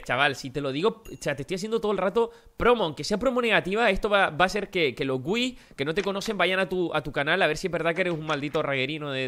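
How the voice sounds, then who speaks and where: A Spanish man from Spain talks quickly and brightly over his gameplay. His voice is nasal and a bit wrecked, and he chats straight at his viewers with plenty of energy. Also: on the high side. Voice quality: nasal, worn-out voice. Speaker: gaming youtuber. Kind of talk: casual gameplay commentary.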